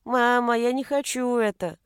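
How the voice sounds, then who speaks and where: A woman voicing a stubborn, excitable little boy in an audiobook, loud, childish and defiant. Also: fairly high pitch, moderate pace, smooth, no room sound, studio quality, close to an everyday voice. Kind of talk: audiobook character voice.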